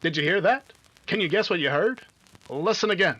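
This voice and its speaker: A man's voice: high voice, expressive, a little fast, background noise. A man narrating and announcing in the scripted style of an old-time radio adventure serial.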